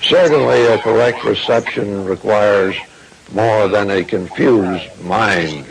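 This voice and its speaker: A man delivering a formal esoteric lecture in a solemn, deliberate, grandiloquent manner. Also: lowish voice, creaky, noisy background.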